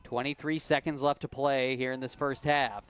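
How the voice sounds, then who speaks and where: A man doing play-by-play for a high school football game, calm and unhurried, his voice a bit worn and cracking. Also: clean tone, faint music behind. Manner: calm, unhurried play calling with easy laughs. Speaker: play-by-play announcer.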